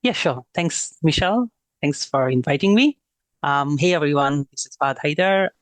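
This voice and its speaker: A man's voice: on the high side, expressive, moderate pace, no room sound. A man in his thirties from Bangladesh, a guest talking casually in an interview, with many fillers and restarts. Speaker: guest, renewable energy consultant.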